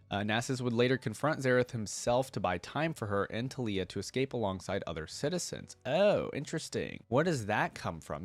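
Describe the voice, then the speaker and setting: A man's voice: mid-range voice, no echo. A man hosting a reaction video, casually reading aloud and commenting as he thinks things through.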